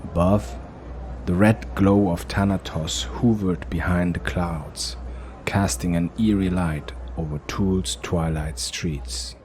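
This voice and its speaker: A man narrating a fantasy audio drama in a measured, unhurried storytelling manner. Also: low-pitched, steady tone, a little mellow, slight background noise.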